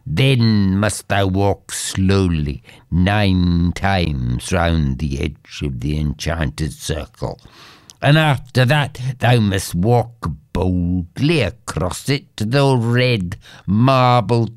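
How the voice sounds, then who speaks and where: A woman's voice: rumbling low, expressive, slow, darkish tone, no room sound, clean studio sound, exaggerated voice. A woman voicing a small bewitched goblin man, once mortal, with a whispery, wailing manner. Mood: grave warning. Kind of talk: storybook reading.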